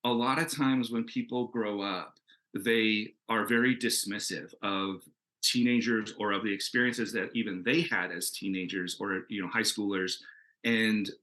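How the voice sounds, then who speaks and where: A man with a slightly low but bright, forceful voice speaks as a guest comics creator on a panel. He is casual and enthusiastic, rambling from one thought to the next. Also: fairly even intonation, measured pace, rough recording.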